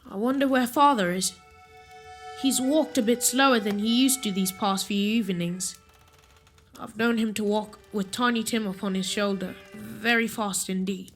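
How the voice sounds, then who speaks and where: A woman playing a devoted young boy, earnest and gentle, in a radio play. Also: medium pitch, fairly even intonation, normal speed, faint music behind, lightly acted. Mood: wistful. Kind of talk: theatrical radio-play acting.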